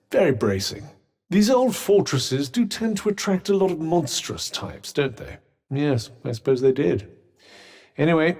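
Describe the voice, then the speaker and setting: Haughty British talking cuff, lively intonation. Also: male voice, fairly high pitch, heavily acted. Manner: dry, sardonic, articulate, arch understatement. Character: haughty, sardonic sentient magical cuff, male companion. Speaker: voice actor. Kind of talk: video game companion dialogue.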